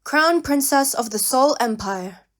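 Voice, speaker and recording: A woman acts out a proud cat princess in an audio drama. Her voice sits fairly high and moves at a slow, deliberate pace, regal and commanding, with formal, guarded phrasing. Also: animated. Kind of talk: dramatic audio fan-fiction character acting.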